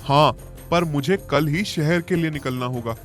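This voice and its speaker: A man voicing an earnest, worried educated young village son in an animated moral story. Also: on the high side, normal speed, faint music behind, close to an everyday voice.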